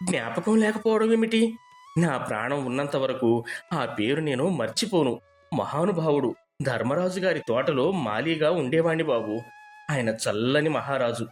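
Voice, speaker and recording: A man's voice: medium pitch, lightly acted. A man playing a poor, loyal village gardener in a story, deferential and weary in manner. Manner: deferential, lamenting.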